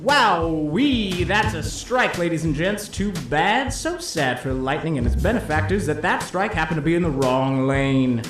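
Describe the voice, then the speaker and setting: Showy, echoing 1950s bowling announcer. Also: male voice, on the high side, expressive. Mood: gleeful, teasing. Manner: showy hepcat patter with drawn-out flourishes. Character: slick, cheeky 1950s bowling-alley disc jockey announcer. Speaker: play-by-play announcer. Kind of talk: 1950s radio-style sports commentary. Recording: roomy echo, music underneath.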